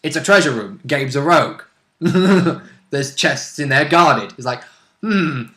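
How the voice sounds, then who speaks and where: A man chats casually as a tabletop roleplay player. His voice sits a little high and sounds bright and sharp. He is blunt and jokey, with some swearing. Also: expressive, slow pace. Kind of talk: casual chat.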